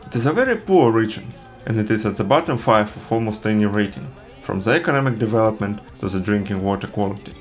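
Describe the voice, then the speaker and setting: A man's voice: fairly low pitch, expressive, soft background music. Man narrating a travel film. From Russia. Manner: earnest, measured storytelling. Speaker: travel vlogger.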